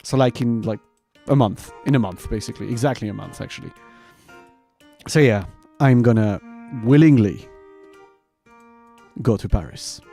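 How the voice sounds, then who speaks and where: A man rambling casually and informally to his livestream viewers, animated and loose in his wording. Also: on the low side, moderate pace, vocal fry, pauses often, soft background music. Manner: animated, laughs often. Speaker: variety streamer and host.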